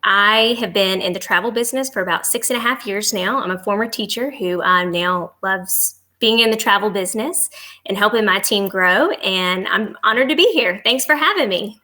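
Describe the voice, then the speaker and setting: A woman with a bright, forward voice of middling pitch answers as an interview guest. She is informal and agreeable, explaining and promoting travel services in a conversational way. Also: moderate pace. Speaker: podcast guest, travel agency executive.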